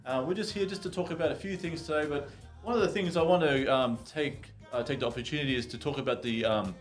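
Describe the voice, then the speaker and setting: A man's voice: a little high, fast talker, faint music behind. A man hosting a radio chat show, playful and teasing with his guest. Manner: playful, laughs a lot, teasing. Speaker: radio host.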